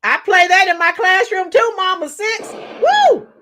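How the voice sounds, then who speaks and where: Hoarse middle-aged woman, high voice, unhurried livestream chatter. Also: very animated, sharp tone. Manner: chatty, reads out comments and sings along to songs. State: hoarse, losing her voice. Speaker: livestream host. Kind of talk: casual livestream chat with viewers.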